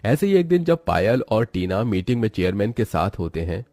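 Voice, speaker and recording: A man narrates a scripted moral tale. His voice sits a little low and has a soft, dark tone. The recording is close and dry. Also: big pitch swings, normal speed, no echo.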